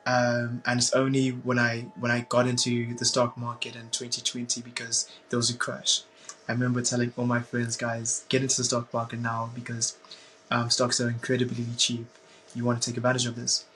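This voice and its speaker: Halting young man, very flat voice. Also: mid-range voice, a little hiss or hum, rough recording. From Zimbabwe. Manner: halting, repeats words and restarts often. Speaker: guest, bitcoin community founder. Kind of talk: casual interview.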